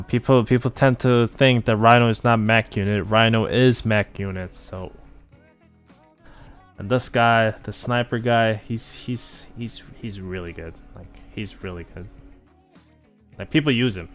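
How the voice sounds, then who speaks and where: A man streaming a game, chatting casually with viewers, rambling with many fillers and self-corrections. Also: lowish voice. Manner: relaxed, opinionated about game units.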